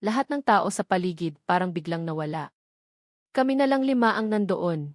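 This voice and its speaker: A woman's voice: fairly low pitch, expressive, moderate pace. A woman narrates a scripted love story in the first person. Her delivery is that of a dramatic storyteller. The sound is very dry, with little room echo.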